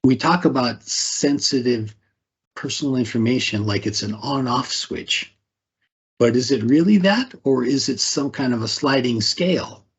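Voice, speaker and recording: A man's voice: medium pitch, a little slow, slightly rough, muffled recording. A man leading a talk on privacy, posing rhetorical questions and often tagging them with "right?". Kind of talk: conversational presentation.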